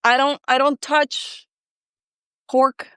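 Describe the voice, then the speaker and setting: A woman's voice: sits high, level tone, takes it slowly, a bit bright, no room sound, studio quality. A woman in her thirties, from Colombia and raised in Ecuador, chatting as a guest in a self-deprecating way with lots of filler words. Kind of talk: casual chat.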